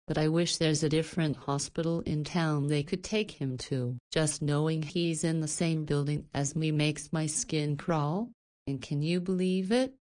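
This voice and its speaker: A woman's voice: deep voice, unhurried, a little mellow. An actress playing a caring, flirty woman in a visual novel, chiding and teasing like a doting guardian. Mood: disgusted, indignant.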